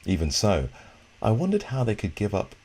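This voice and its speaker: Casting: man acting mischievous storybook uncle, low-pitched, smooth delivery, warm and wistful. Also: wildly swooping pitch, moderate pace, full character voice. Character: Uncle Cosmo, smooth-voiced mischievous adult man at breakfast.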